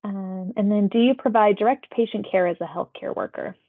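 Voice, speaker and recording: A woman's voice: medium pitch, a little fast. Woman interviewer on a tinny phone line. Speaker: public health phone interviewer. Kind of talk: phone survey interview, scripted questions read conversationally.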